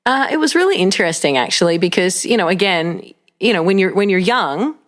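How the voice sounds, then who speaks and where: A woman with an Australian accent, a pastor chatting as a podcast guest and telling lively, self-mocking stories from a home. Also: mid-range voice, brisk. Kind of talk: casual interview chat. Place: a private home.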